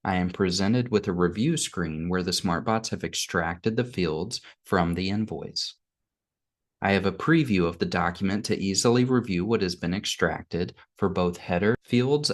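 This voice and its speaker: Low-pitched male product demo narrator. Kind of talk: scripted software demo narration.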